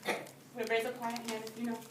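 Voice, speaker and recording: High-voiced woman teacher reading to young children. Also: completely flat delivery, quick pace. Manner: patient, simple child-directed talk, repeats and echoes answers. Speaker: preschool or early grade teacher. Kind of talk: storybook read-aloud and discussion with young children. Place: indoor room with young children. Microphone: phone or camera at a distance. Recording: roomy, distant phone video with noise.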